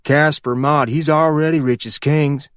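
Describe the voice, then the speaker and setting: A man voicing a slow-witted young man from who sells moonshine and answers politely. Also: on the high side, clean tone, no room sound, studio quality. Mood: dim. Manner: flat, dim, deferential.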